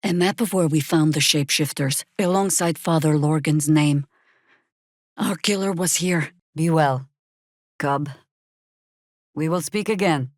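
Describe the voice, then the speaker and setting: Older druid fighter lady for a fantasy game, lowish weathered voice, real slow n measured, dry wit, bossy. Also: no echo. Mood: dry, reflective. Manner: wry, dry, formal archaic phrasing. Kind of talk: video game character acting.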